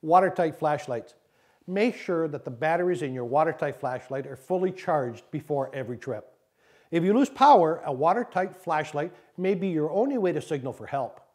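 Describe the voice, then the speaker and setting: A man reading scripted instructional narration in a measured, didactic way, as an instructor. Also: a little high, animated, a little mellow.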